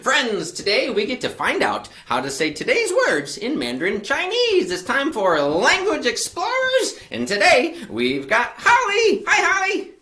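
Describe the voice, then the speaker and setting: A woman's voice: sits high, huge pitch swings, a little slow, few pauses, sharp tone. A young woman hosting a children's show, bubbly and chatty, laughing often and rambling with fillers. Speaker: host of a children's library show.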